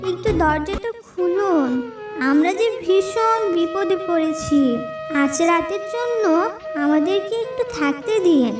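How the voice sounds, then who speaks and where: A woman's voice: extremely high pitch, unhurried. A woman voicing a kind mother tailorbird in distress, pleading plaintively in a children's cartoon. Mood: tearful pleading. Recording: roomy, distant sound under music.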